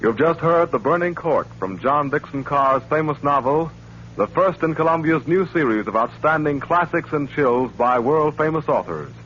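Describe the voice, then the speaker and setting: A man's voice: on the high side, lively intonation, moderate pace, a little hiss or hum. A man announcing for a radio network in formal 1940s style. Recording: muffled 1940s radio recording over music.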